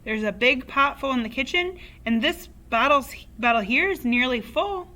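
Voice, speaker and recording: A woman reading a children's story aloud, voicing a calm young boy. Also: high voice, moderate pace, pitched above the performer's own voice. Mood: matter-of-fact.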